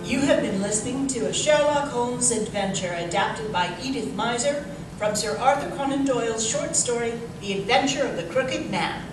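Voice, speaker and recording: A woman playing an upbeat 1930s radio announcer, with a deliberate, presentational sponsor-pitch delivery. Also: close to an everyday voice. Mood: formal. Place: live stage performance in a museum. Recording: echoey hall, distant mic, music behind.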